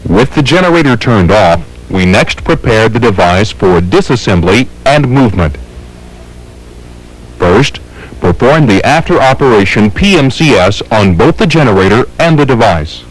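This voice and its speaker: A man's voice: fairly low pitch, sing-song, moderate pace, low-quality recording. A man with an American accent reading scripted instructional narration in a formal, methodical, step-by-step way. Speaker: training film narrator.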